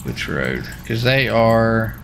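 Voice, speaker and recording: A man's voice: on the low side, sing-song, takes it slowly, creaky, slight background noise. An American man talking casually in a folksy, slangy way as he streams a police roleplay game.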